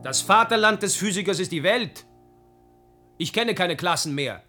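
A man's voice: high voice, lively intonation, normal speed, sharp tone, faint music behind. An actor playing a proud young physicist, declaiming his elitist creed with self-assurance in a radio drama. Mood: proud, emphatic.

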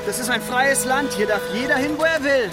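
An actor playing a mocking, provocative, excitable male stalker in a radio drama. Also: extremely high pitch, brisk. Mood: sneering. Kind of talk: dramatic radio play dialogue. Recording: loud music and street vehicles.

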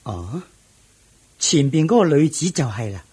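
An actor playing an earnest, curious disciple in a Cantonese radio drama, respectful and inquisitive, with a husky voice and Hong Kong accent. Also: male voice, medium pitch, very animated, a little slow, a little mellow, full character voice. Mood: eager, respectful.

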